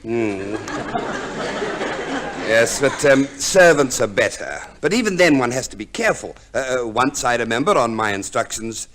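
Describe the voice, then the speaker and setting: Shrewd male divorce lawyer, played by an actor, 1950s radio drama: pedantic, hesitant, theatrically animated pitch. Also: normal speed, a faint crowd, slight background noise, natural voice. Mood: relishing instruction. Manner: hesitant stammering, pedantic, precise. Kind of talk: 1950s radio play acting.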